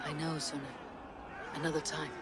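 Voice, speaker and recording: Low husky female voice, actress playing vengeful young Viking warrior, terse and brooding, game dialogue, ambient noise behind. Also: flat delivery, brisk, some chatter behind, close to an everyday voice. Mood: brooding anger. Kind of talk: dramatic video game acting.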